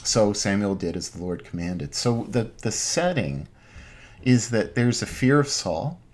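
Man, middle-aged, Anglican pastor, informal sermon, unhurried delivery, deliberate pauses, expressive intonation, webcam at a desk. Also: fairly low pitch. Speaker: Anglican priest, parish pastor. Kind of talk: informal online sermon and scripture reading. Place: small home den with bookshelves.

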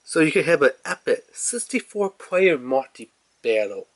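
A man's voice: a little high, sing-song, a little slow. Congested man rambling through a game unboxing. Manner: rambling, filler-heavy, talks to camera while handling the case. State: stuffy nose, congested, clearing throat. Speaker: gaming channel host. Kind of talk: casual unboxing review.

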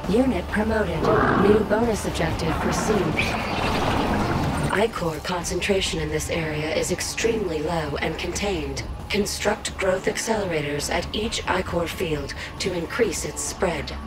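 A clinical female alien machine intelligence, acted by a woman, giving game briefings. She is formal and detached, slightly low in pitch. Very loud music plays behind her. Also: measured pace, lightly acted, poor audio. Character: emotionless female alien invasion computer advisor. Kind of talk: scripted game announcements and briefings.

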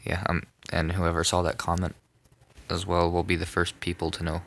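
A young man narrating a casual phone tutorial, rambling, with many ums and self-corrections, in a soft voice. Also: sits low, monotone, moderate pace, gravelly, a little hiss or hum. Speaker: tutorial maker. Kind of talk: casual screen-recorded tutorial.

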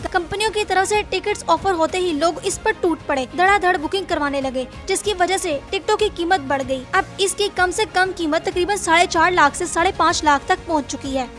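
A woman's voice: very high-pitched, a bit bright. A woman, hosting a fact channel and reading scripted narration that addresses viewers as friends. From Pakistan. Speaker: channel host. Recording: voice-over with faint background music.

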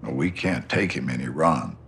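An actor playing a gruff, impatient older US Army general with an American accent, blunt and curt. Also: male voice, rumbling low, animated, normal speed, fairly bright tone, slight background noise, heavily acted. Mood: wry, terse. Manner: blunt, curt, barking orders.

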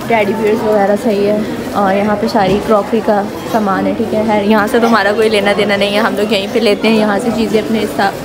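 A woman's voice: medium pitch, flat delivery, brisk, hardly any pauses, a loud crowd. A young adult woman with a Pakistani accent chatting casually for a vlog, mixing in English phrases. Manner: code-switches with English phrases. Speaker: vlogger. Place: home, street, mall and grocery store. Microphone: phone at arm's length. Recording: noisy handheld phone vlog recording.

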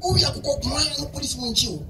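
A man's voice: very high voice, racing, background noise. A man playing an agitated, exclamatory character in a live outdoor stage skit, repeating his phrases. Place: open-air courtyard at a festival. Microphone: handheld microphone, heard through distant camera. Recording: echoey PA from far off, faint music.

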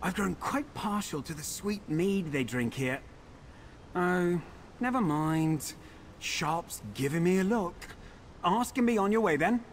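A man's voice: high voice, animated, unhurried, a little hiss or hum. An actor voicing a pampered, indignant male elf in a fantasy game, complaining in a prissy, theatrical way. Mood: airy, wry.

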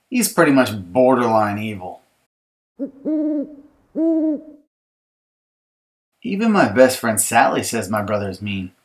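A man reading a children's picture book as an exasperated young girl complaining about her bratty little brother, emphatic and mock-aggrieved. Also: on the low side. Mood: indignant. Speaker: author reading his audiobook.

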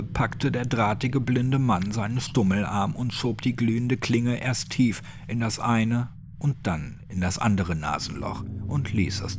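A man's voice: fairly low pitch, noisy background. A man reading scripted narration for a horror audiobook.

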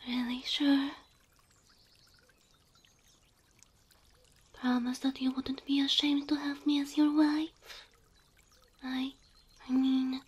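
A woman voicing a gentle, devoted, insecure cow girl girlfriend in soft, halting, intimate ASMR roleplay, often whispering. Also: high-pitched. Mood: anxious, unsure.

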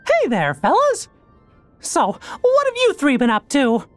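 A man voicing a small, kindly cartoon mouse king in a video game, in a squeaky falsetto. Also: wildly swooping pitch, unhurried, faint music behind, exaggerated voice. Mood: cheerful. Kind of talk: animated video game acting.

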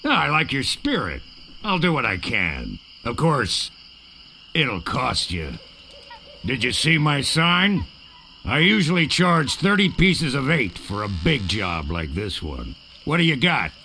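Gravelly, blustering sword trainer. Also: male voice, mid-range voice, sing-song, slow, pushed and bright, a little hiss or hum, heavily acted. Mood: shrewd, mellowing. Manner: barking, bragging, contemptuous. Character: blustering, gruff male sword trainer, crusty and insulting. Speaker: sword fighting coach. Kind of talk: cartoonish adventure game acting.

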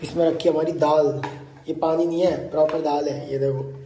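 A man's voice: on the high side, steady tone, moderate pace, warm tone. A young man from India filming a casual vlog at home, joking and teasing his friends while he cooks. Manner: joking, teasing, laughs often. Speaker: vlogger. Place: small home kitchen and room indoors. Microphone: handheld phone camera. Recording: echoey room, faint noise.

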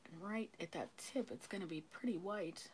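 Older lady art teacher, low gravelly voice going hoarse, slow and calm, bit of room hum. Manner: slow, calm, unhurried talk. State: losing her voice, clearing her throat. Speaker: painting teacher. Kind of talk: live painting lesson, thinking aloud. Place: home art studio, indoors.

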